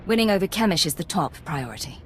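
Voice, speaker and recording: A female intelligence agent with a lowish pitch in a video game. She speaks in short, controlled lines and stays composed and principled. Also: lively intonation, fast talker, a bit bright, slight background noise. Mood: cool, resolved. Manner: cool, concise, controlled.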